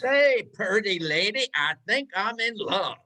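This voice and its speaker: Older man plays smitten cowboy; high, bright, eager, radio western. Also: animated, measured pace, exaggerated voice. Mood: lovestruck. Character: lovestruck cowboy gunslinger, sweet and eager. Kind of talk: amateur old-time radio comedy acting.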